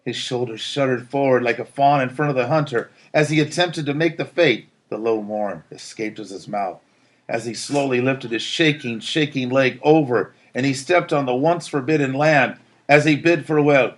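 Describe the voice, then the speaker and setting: A man's voice: medium pitch, normal speed. A man reading a narrative aloud as a teacher would, in a dramatic, emphatic storytelling style. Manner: theatrical, emphatic delivery.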